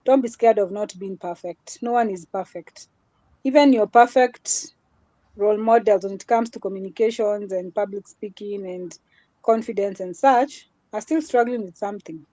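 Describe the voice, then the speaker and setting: A woman's voice: mid-range voice, moderate pace, mellow, no echo, low-quality recording. A woman with a small voice, giving an earnest motivational talk as a guest speaker in a live session. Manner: earnest, repetitive, mixes Swahili into English.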